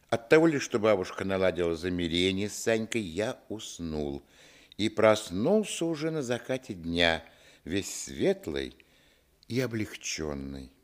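An actor playing a reflective narrator who recalls his village boyhood, telling it as expressive literary radio play narration. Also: on the low side. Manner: storytelling, expressive.

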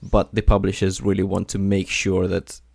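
A man's voice: sits low, completely flat delivery, moderate pace, vocal fry, a little mellow, no room sound, studio quality. A young man from Ukraine hosting a podcast interview, curious and chatty, with many fillers. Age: young adult. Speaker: podcast host. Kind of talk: conversational interview.

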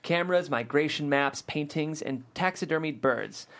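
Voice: mid-range voice, moderate pace, a bit bright. A narrator reading scripted stage directions aloud at a table read.

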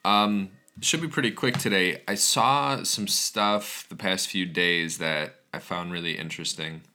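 Groggy young man, deep bright voice. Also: a little slow, a little hiss or hum. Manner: rambling with frequent ums and restarts. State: groggy just after waking. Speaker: podcast host. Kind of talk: casual solo podcast talk.

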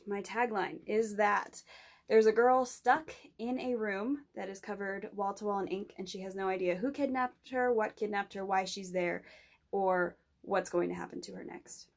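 Young woman with heavy vocal fry. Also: medium pitch, moderate pace. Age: young adult. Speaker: student podcast guest pitching a project. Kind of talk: informal spoken pitch in an interview.

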